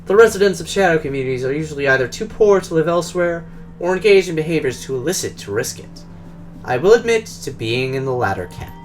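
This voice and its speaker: A man voicing a polished, guarded Russian drone engineer who talks in a formal, measured, precise way, with a Russian accent. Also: on the high side, big pitch swings, soft background music.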